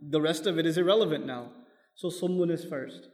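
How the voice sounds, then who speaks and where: A man's voice: on the high side, a little fast. Male Quran teacher, raised voice register, lecture-style sermon in a reverberant hall. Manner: explains step by step, asks the audience questions; quotes Arabic verses.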